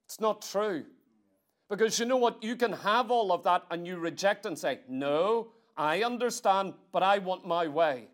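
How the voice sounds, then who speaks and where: A man's voice: high-pitched, measured pace, a bit bright. A man preaching a church sermon in an emphatic, exhorting manner, leaning on repetition and rhetorical questions.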